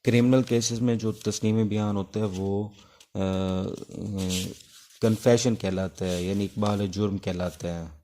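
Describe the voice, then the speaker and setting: A man with a Pakistani accent giving an informal exam-prep law lecture, explaining things step by step with hesitant pauses. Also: on the low side, steady tone, dark tone, a little hiss or hum. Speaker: law teacher. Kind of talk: informal exam-prep lecture in Urdu mixed with English legal terms.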